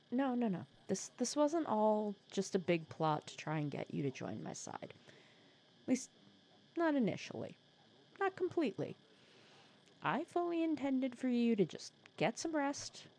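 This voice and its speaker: A woman playing a sly, secretly caring female supervillain in an intimate ASMR roleplay, casual and teasing, softly breathy. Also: fairly high pitch. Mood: earnest, concerned. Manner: casual, teasing, persuasive, sardonic.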